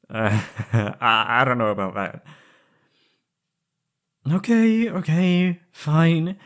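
A young man playing an anguished, lovesick former captive in an intimate roleplay audio, halting and pleading. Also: medium pitch. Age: young adult.

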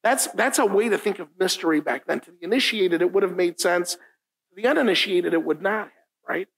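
A man's voice: high voice, animated, brisk, gravelly, low-quality recording. A middle-aged man whose first language is Italian, preaching a conversational sermon at a lectern in a church room. He talks informally, often asks "right?", and repeats key lines for emphasis. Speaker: pastor. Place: church meeting room, indoors, standing at a lectern.

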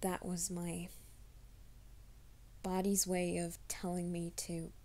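A woman voicing a shy, flustered young male werewolf in an ASMR roleplay, speaking in a soft half-whisper with a hesitant, stammering delivery. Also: fairly low pitch, completely flat delivery, slow pace. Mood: flustered pleading. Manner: hesitant, stammering, self-conscious.

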